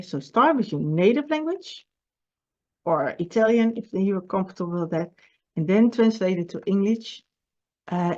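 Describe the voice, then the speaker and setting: Dutch-accented woman publisher, middle-pitched, earnest and halting, on a slightly muffled recording. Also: mellow. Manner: earnest, halting, repeats words and restarts. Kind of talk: personal video message with writing advice.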